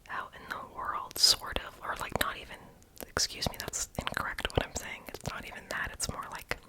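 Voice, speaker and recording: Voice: normal speed, sharp tone. Whispering young adult doing ASMR voiceover. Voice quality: unvoiced breathy whisper. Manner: rambling, casual, self-deprecating; mouth sounds, lip smacking. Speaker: ASMR video creator.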